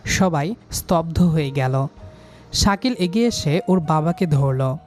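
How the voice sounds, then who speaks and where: A man's voice: fairly high pitch, lively intonation, unhurried, a little mellow. A man narrates a romantic story in the first person with emotive, dramatic delivery. His voice is scripted storytelling throughout, with music underneath.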